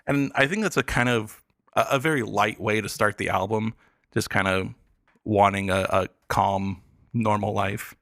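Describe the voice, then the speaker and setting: A man chatting casually about the arts on a podcast, explaining things in a halting way with lots of filler words. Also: fairly low pitch, fairly bright tone, no echo, clean studio sound. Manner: hesitant, filler-heavy explaining. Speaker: podcast guest reviewing pop albums. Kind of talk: casual arts talk chat.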